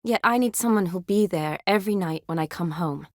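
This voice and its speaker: An actress playing a young woman army medic in a video game, caring, playful and briskly practical, with a natural, teasing delivery. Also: lowish voice, a little mellow, no room sound, studio quality, lightly acted. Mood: wistful, tender. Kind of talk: video game character dialogue.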